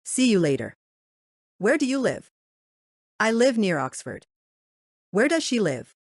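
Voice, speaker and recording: A woman reads short example phrases slowly and clearly, with plenty of pitch movement. The recording is dry and close. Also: big pitch swings, dry recording. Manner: measured, clear reading. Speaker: course voice reading example phrases. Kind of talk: scripted example sentences.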